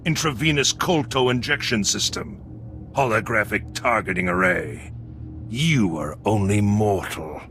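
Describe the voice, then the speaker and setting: An actor playing a menacing cybernetic crime boss in a video game, with a grandiose, contemptuous, threatening delivery. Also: male voice, lowish voice, a little slow, fairly bright tone, soft background music. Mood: boastful. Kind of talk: video game villain acting.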